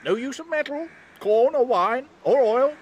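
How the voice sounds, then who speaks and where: A man's voice: extremely high pitch, lively intonation, unhurried, lo-fi sound, full character voice. A man playing a kindly, garrulous old courtier in a Shakespearean audio play, optimistic and hesitant. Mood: earnest.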